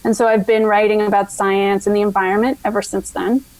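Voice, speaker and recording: A woman's voice: mid-range voice, level tone, brisk, darkish tone, poor audio. A middle-aged American woman from Virginia, a science writer telling her story as a podcast guest, thoughtful and prone to restarting sentences. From Virginia, USA. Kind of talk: casual interview answers.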